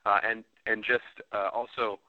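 A deep-voiced man hosts a call conversation, asking long questions that wander and fill up with hesitations. His audio is dry and dull, with no room sound. He keeps an easy interviewer's pace. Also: level tone, normal speed, dry recording. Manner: rambling, filler-heavy questions. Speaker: host. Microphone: remote call audio.

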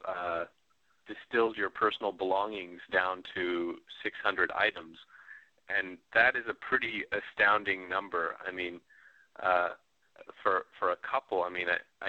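A deep-voiced man hosts a call conversation, asking long questions that wander and fill up with hesitations. His audio is dry and dull, with no room sound. He keeps an easy interviewer's pace. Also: bright, forceful tone, no echo. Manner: rambling, filler-heavy questions. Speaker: host. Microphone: remote call audio.

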